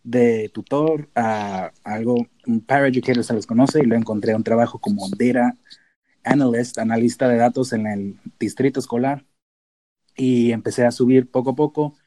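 A man with a northern Mexican accent speaks in a mellow, relaxed tone at a middling pitch. He explains things casually and informally as a podcast guest, dropping in the odd English term. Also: moderate pace, low-quality recording. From Tijuana, Mexico. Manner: informal, sprinkles in English terms. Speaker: podcast guest, data analyst and researcher.

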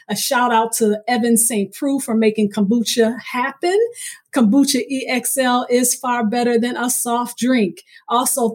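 Woman, podcast host, higher-pitched voice, interview and sign-off delivery.

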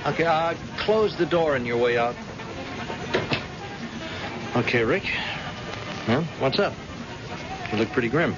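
A man's voice: mid-range voice, expressive, measured pace, long pauses, over music, close to an everyday voice. A man acting in an old-time radio drama as a stubborn, proud blind man, hard-boiled, bitter and challenging, in clipped colloquial phrases. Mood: wry, guarded. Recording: muffled, noisy old radio recording.

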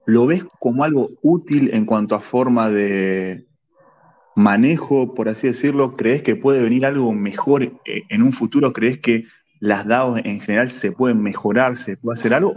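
A man's voice: mid-range voice, a little mellow. A man in the audience hesitantly asking the guest a question in a casual online audio chat. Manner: hesitant, many ems. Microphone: audio space call.

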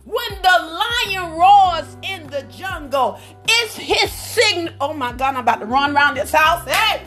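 A woman's voice: extremely high pitch, lively intonation, unhurried, a bit bright, soft background music. A woman preacher leading a phone prayer line in a fervent charismatic style, exclaiming praise and interjecting often. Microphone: phone call on a prayer line.

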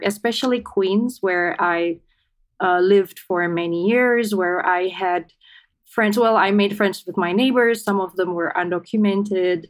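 A woman from Indonesia with an Indonesian accent, talking casually and reflectively as an interview guest. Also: mid-range voice. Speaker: interview guest, writer and academic.

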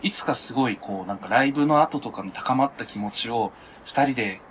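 A man's voice: mid-range voice, a little fast, a bit bright, slight background noise. A man co-hosting a podcast, chatting casually with friends in a chatty, campy manner. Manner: campy, self-described "onee" talk. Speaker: podcast co-host. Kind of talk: casual chat among friends.

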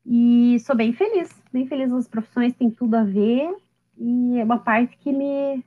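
A woman in her late thirties is chatting on a podcast, her voice sitting fairly high. She jokes at her own expense and laughs easily. The recording is dull and lo-fi. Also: a little slow. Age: 30s. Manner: chatty, self-deprecating, laughs often. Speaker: podcast guest. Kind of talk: casual interview.

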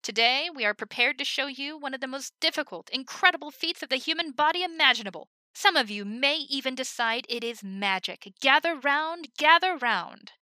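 A woman voicing an exuberant young woman soldier who plays a booming showman for a crowd. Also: animated, moderate pace, few pauses, pushed and bright, dry recording. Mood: showy. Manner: booming, theatrical barker delivery.

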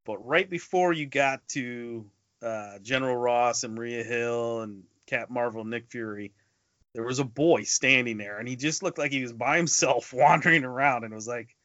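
A man's voice: mid-range voice, normal speed, a bit bright, no echo. A man co-hosting a podcast, chatting casually about movies and telling stories in a conversational way. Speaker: podcast co-host. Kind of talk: casual movie review chat. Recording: dull lo-fi podcast audio.

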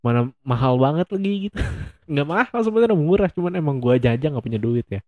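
A man's voice: on the high side, animated, fast, dry recording, highs cut off. A young man hosting a solo podcast, chatting casually in a rambling, self-mocking way and laughing often. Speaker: podcast host.

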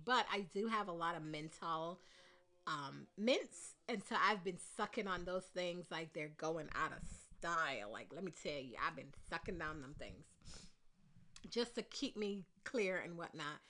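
A congested woman talks through her solo podcast in a loose, candid ramble, full of ums and side turns. Her voice is bright and forward even with the stuffy nose. She sounds like she's just chatting to her listeners. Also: fairly low pitch, normal speed. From Caribbean. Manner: rambling, candid, with frequent fillers. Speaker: podcast host. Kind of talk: casual solo podcast chat.

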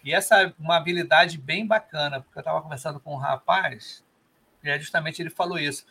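A man's voice: on the high side, quick pace, vocal fry, bright, forceful tone, husky. A man hosting a podcast, chatting casually and encouraging his guest with friendly remarks. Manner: friendly, encouraging, uses fillers like "né". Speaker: podcast host. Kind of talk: casual interview chat.

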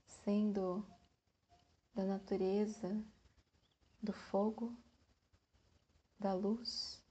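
A woman leads a guided meditation in a soft, breathy voice, speaking in short phrases with long pauses. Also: medium pitch, flat delivery.

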